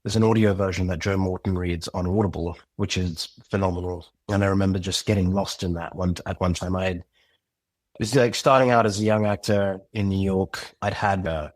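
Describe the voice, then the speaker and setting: A middle-aged man with a very low, somewhat husky voice answers interview questions reflectively. He pauses, circles back and restarts phrases as he works through his thoughts. The sound is dry and close. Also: steady tone, dry recording. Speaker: podcast guest, writer and director.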